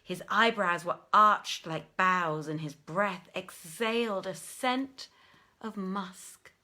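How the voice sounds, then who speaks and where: Slow, bright-voiced woman storyteller. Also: on the low side. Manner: measured, deliberate phrasing with theatrical pauses. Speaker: storyteller, audiobook reader. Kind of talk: dramatic literary story narration.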